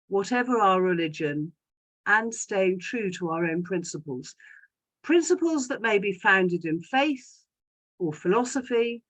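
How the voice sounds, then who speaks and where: Woman in her sixties, measured speaker. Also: fairly low pitch, a bit bright, poor audio. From London, England. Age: 60s. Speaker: guest speaker giving a talk about a parent. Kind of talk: prepared personal talk read aloud. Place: indoors in a home study, on a video call.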